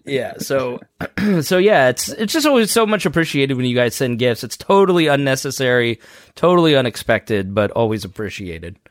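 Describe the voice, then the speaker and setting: A man's voice: medium pitch, very animated, normal speed, no echo. A man co-hosting a podcast, talking in a hesitant way with many fillers while thanking listeners. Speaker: podcast co-host. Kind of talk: casual chat.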